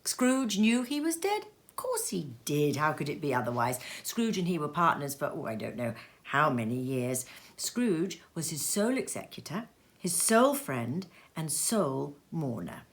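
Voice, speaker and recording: A middle-aged woman reading a classic novel aloud at home in an expressive, theatrical way with playful asides. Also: deep voice, normal speed. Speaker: reader. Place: cozy sitting room at home. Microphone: seated in a chair facing the camera.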